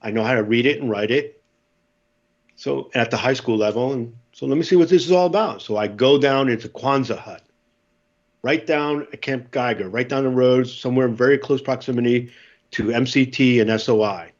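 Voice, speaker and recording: A middle-aged former Marine, a native Spanish speaker, tells a long story on a podcast and acts out the dialogue in it. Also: male voice, medium pitch, normal speed, dull audio. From United States.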